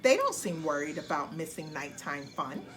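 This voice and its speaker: A woman's voice: low-pitched, huge pitch swings, moderate pace, a bit bright, slight background noise, pitched below the performer's own voice, exaggerated voice. A woman voicing a young male bunny, curious, cheerful and polite, in a children's bedtime story. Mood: sleepy, thoughtful.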